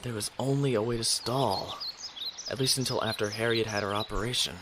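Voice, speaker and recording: A man's voice: fairly low pitch, brisk, noisy background, natural voice. A man playing a conflicted, honorable young naval officer in a sci-fi audio drama, earnest and brooding. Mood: resigned, bitter.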